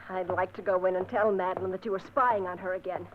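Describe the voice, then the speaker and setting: A woman's voice: fairly low pitch, steady tone, fast, lightly acted. Woman playing a defiant young woman, indignant, dull lo-fi radio, faint hiss. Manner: sharp, indignant. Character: spirited young fiancée, defiant. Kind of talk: vintage radio drama acting. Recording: muffled old radio with hiss.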